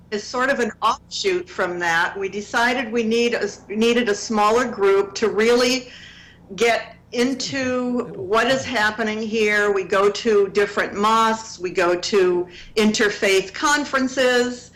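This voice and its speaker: A woman in her seventies from Connecticut, a guest explaining her activist group in slow, earnest interview answers. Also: on the high side, fairly bright tone, poor audio. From Connecticut, USA.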